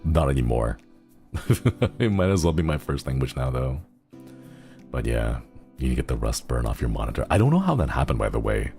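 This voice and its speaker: Very deep-voiced, gravelly male streamer. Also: big pitch swings, normal speed, dark tone, soft background music. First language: Xenokuni (in-fiction language). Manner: rambling and easygoing, giggles often. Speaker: livestreamer. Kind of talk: casual stream chat, reading viewer messages.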